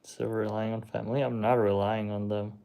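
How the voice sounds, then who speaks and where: A young man whose first language is Czech, hosting a casual livestream and answering chat in a slow, hesitant, shrugging way. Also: lowish voice, level tone, vocal fry, dark tone. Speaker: livestream host reading chat.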